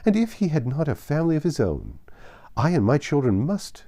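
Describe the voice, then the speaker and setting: A man voicing an excitable, silly middle-aged mother in a storybook reading, gushing and fluttery with delight. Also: fairly high pitch, fairly quick, pitched above the performer's own voice. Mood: giddy, self-satisfied. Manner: fluttery, exclamatory, breathless rush of delight.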